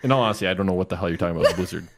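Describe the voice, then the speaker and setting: A man's voice: low voice, very fast, slight background noise. A man co-hosting a casual podcast, explaining his ideas in a rambling, conversational way. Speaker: podcast co-host. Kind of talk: casual chat about video game movies.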